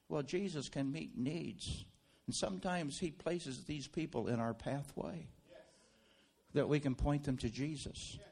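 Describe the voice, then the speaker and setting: A man preaching a sermon in a church. He tells stories quietly, then leads loud, fervent prayer. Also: a little high, gravelly. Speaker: guest preacher.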